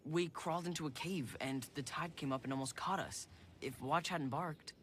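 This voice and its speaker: Earnest, light-voiced animated older boy played by a male. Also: fairly high pitch, normal speed, slight background noise, lightly acted. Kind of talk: animated film dialogue.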